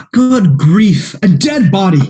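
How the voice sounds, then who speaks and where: A man playing a fretful private detective in a radio play, flustered and quick to exclaim. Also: high-pitched, warm tone, heavily acted.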